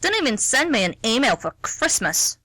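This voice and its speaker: Actress as nosy middle-aged mother at the airport: bright, swooping delivery, cheeky, rambling, quick to take offence, radio play. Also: a little high, big pitch swings, no echo, heavily acted. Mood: chatty, aggrieved. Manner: rambling, cheeky, easily affronted. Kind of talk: amateur radio play acting.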